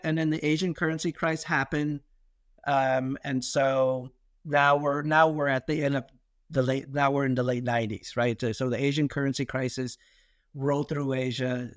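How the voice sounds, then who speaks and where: Middle aged american guy chatting on a podcast, kinda thoughtful and halting, dry sound no room echo. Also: mid-range voice. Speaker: podcast guest, investor. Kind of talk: conversational life-story interview.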